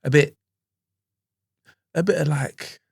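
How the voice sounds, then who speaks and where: A young man co-hosts a podcast in a relaxed, slangy manner. His voice has a strong gravelly fry and sits at a middle pitch. The recording is close and dry. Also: takes it slowly, no room sound. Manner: laid-back, reflective, slang-heavy. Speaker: podcast co-host. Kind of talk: casual podcast chat.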